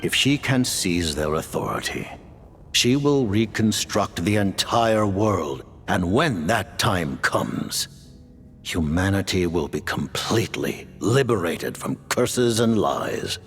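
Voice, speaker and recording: An actor playing an ancient, commanding male leader who speaks in slow, measured pronouncements in a game cutscene. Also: low-pitched, expressive, a bit bright, faint music behind, full character voice. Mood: solemn. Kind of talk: video game cutscene voice acting.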